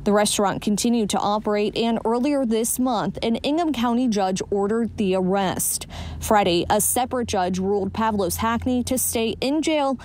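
A woman's voice: on the high side, moderate pace, darkish tone. An American woman in her twenties delivering a news report as a TV reporter. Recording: faint background noise.

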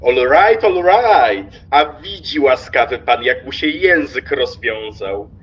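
A man's voice: medium pitch, expressive, slow. Man playing a smug, swooping railway official. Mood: smug, teasing. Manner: unctuous, drawling, mocking courtesy. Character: smug, impertinent railway official, mockingly sweet and talkative. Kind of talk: radio play acting. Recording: slightly dull with noticeable background noise.

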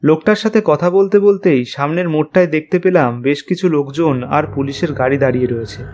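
Man as mellow, uneasy night-riding journalist. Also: on the high side, fast talker, close to an everyday voice. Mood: uneasy suspense. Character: male journalist on night ride, courteous, uneasy narrator. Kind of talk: first-person horror story narration and dialogue. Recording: faint music behind the voice.

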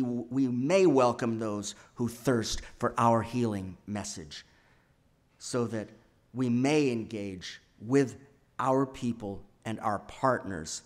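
Male, 60s, church sermon read at a lectern. Unhurried, measured delivery with emphatic pauses, mid-range pitch. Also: darkish tone. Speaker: speaker presenting a sermon at a church. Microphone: gooseneck lectern microphone.

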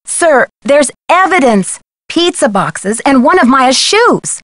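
Determined young American detective, high voice. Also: female voice, very animated, slow, fairly bright tone, no room sound, clean studio sound, heavily acted. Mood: urgent, earnest. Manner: insistent, polite, clearly spoken. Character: determined, earnest young female amateur detective. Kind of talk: video game voice acting.

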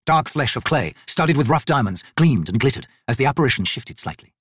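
A man's voice: medium pitch, animated, brisk, fairly bright tone, breathy edge, no echo, studio quality. A man reading dramatic fantasy narration as an audiobook narrator.

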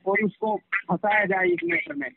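Very high, creaky man on a noisy phone line. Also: lively intonation, normal speed. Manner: insistent, repetitive, pressuring. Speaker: caller pressuring the other party. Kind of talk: casual phone argument. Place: recorded phone call. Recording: noisy, tinny telephone line with clicks.

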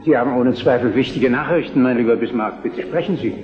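A man's voice: mid-range voice, a little fast, over music, close to an everyday voice. An actor playing an aging, weary Prussian king with formal, courtly manners in a German historical radio drama. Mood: formal, firm. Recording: dull lo-fi radio play, echoing hall.

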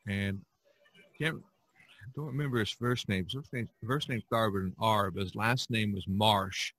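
Bright-voiced man hosting a casual podcast. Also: on the low side, steady tone, dry recording. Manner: halting, repeats words. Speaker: podcast host. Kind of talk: casual discussion.

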